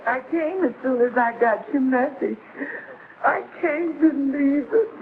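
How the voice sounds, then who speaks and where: A woman's voice: high voice, measured pace. An actress playing a formidable, melodramatic woman in a 1950s television sitcom, theatrical and overwrought. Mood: wailing. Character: formidable, melodramatic woman wailing over her lost man. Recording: very noisy, narrow-band vintage TV soundtrack.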